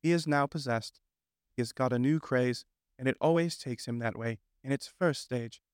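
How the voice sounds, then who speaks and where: A man voicing a practical male water rat who speaks firmly and briskly. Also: mid-range voice, clear, resonant tone, dry recording, clean studio sound, natural voice. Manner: firm, brisk, exasperated.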